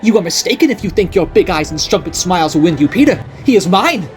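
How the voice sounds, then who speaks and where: A woman voicing an unhinged, jealous middle-aged noblewoman who rants and accuses in a shrill voice. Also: animated, fast, a little mellow, faint music behind, close to an everyday voice. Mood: ranting. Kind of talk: audiobook character voice.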